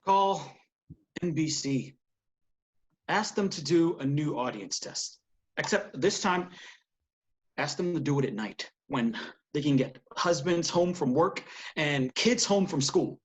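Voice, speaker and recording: A man's voice: a little high, animated, slow. A man acting an earnest, persuasive television producer in a screenplay table read. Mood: pleading, persuasive.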